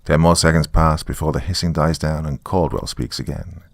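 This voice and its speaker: Low-pitched man narrating horror. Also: fairly even intonation, moderate pace, creaky, no room sound, studio quality. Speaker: story narrator.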